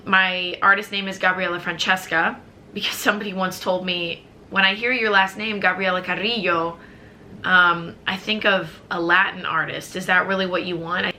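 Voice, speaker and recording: A woman's voice: mid-range voice, sharp tone, slight background noise. An American woman in her twenties from the Chicago suburbs, chatting casually to camera in a rambling, upbeat vlog with lots of fillers. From Chicago suburbs, USA. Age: 20s. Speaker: vlogger.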